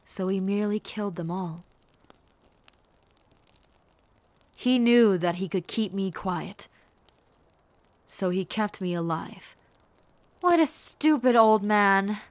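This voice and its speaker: A woman playing a bitter, haughty, scheming rebel warlord princess in a scripted roleplay, speaking formally and deliberately with theatrical delivery. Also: medium pitch, animated, no echo, close to an everyday voice. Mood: bitter, scornful. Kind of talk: scripted roleplay monologue to the listener.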